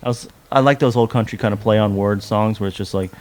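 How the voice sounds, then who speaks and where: A man's voice: lowish voice, steady tone, fast, a little mellow. A man chatting casually as a podcast guest, a country musician who rambles and swears freely. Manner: rambling, profane. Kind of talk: casual interview chat.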